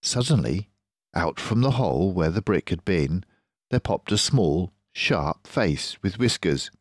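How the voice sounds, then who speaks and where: An older man reads a children's book aloud in a measured, expressive storyteller's voice. His pace is unhurried and the recording is dry and close. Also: deep voice, dry recording. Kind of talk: scripted storybook narration.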